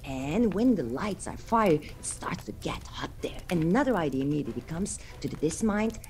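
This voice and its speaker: A woman's voice: deep voice, lively intonation, moderate pace, warm tone, a little hiss or hum. A woman with a non-native English accent telling a fairy tale to children theatrically, often putting questions to her listeners. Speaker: children's storyteller.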